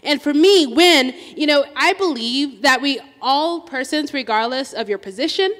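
High-voiced woman, hesitant, in a hall. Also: expressive, a bit bright. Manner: halting, many fillers and restarts. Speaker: school board candidate. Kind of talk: candidate forum statement. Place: large hall, seated on stage panel. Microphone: handheld microphone. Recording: long hall reverb.

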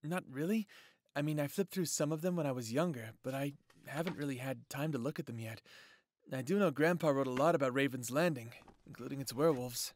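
A man's voice: medium pitch, brisk, lightly acted. A man playing an easygoing, friendly young werewolf in an audio drama, casual in manner. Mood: casual, surprised. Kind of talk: audio roleplay drama acting.

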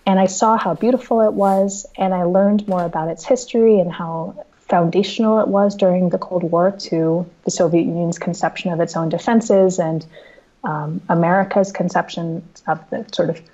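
Mellow-voiced woman author on a video call. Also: fairly low pitch, fairly even intonation, normal speed, muffled recording. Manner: thoughtful, with frequent restarts and repeated words. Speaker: author guest. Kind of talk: remote interview answering reader questions. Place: home room with bookshelves. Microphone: video call with earbuds.

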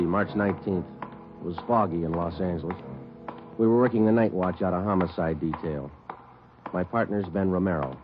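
An actor playing a terse male police detective sergeant, narrating and questioning in a clipped, flat manner in a 1940s radio drama. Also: sits low, close to an everyday voice. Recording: muffled old radio broadcast, hiss.

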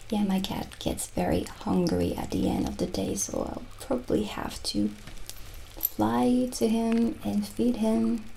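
A middle-aged woman playing a gentle, mystical witch who sells potions, soft-spoken and soothing in an improvised ASMR roleplay. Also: medium pitch, animated, a little slow, slight background noise, low-quality recording. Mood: friendly, unhurried. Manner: soft-spoken, soothing, lapsing into breathy near-whispers. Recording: soft background music. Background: soft music.

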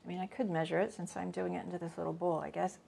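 A woman's voice: lowish voice, level tone, rapid-fire, vocal fry, warm tone, slight background noise. A middle-aged woman giving a casual cooking class in her home kitchen, chatting and thinking aloud as she works, recorded by a camera a few steps away. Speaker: cooking instructor.

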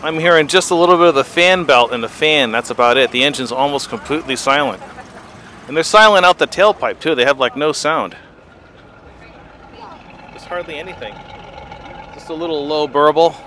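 A man's voice: fairly high pitch, moderate pace, pauses often, sharp tone, slight background noise. A middle-aged man hosting a car show, casually interviewing an owner with a handheld mic and admiring the car. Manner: enthusiastic, admiring, often says wow. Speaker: host. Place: outdoor car show on a lawn. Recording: music in background.

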